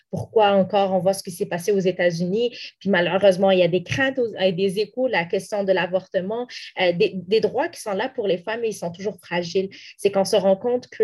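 A woman's voice: mid-range voice, darkish tone. A young woman from Morocco, a native Arabic speaker, answering interview questions earnestly with frequent restarts and fillers. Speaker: interview guest, community activist.

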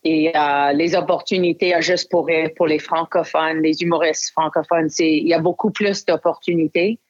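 Low-voiced English Canadian woman comedian interviewed in French, candid, slipping in English words, dull muffled recording. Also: fairly even intonation, normal speed. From Ontario, Canada. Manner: mixes English fillers into French, frank. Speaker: stand-up comedian. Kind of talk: interview answers.

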